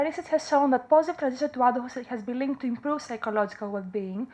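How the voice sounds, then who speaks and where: Greek-accented woman in her thirties, slightly high voice, halting academic presenter who corrects herself often. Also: a little mellow, rough recording. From Greece. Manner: hesitant, frequent fillers and self-corrections. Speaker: conference presenter. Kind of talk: academic conference talk. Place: conference room.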